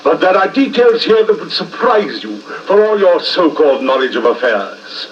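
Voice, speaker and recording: An actor playing a smooth, cold, smug Victorian blackmailer with an English accent and mock-courteous manner in a 1950s radio drama. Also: male voice, fairly high pitch, big pitch swings, normal speed, recorded from a distance. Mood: mocking, menacing. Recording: muffled, hissy 1950s radio recording.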